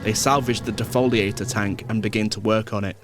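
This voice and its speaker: A man's voice: on the low side, fairly even intonation, brisk. A man narrating a scripted audio drama. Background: music.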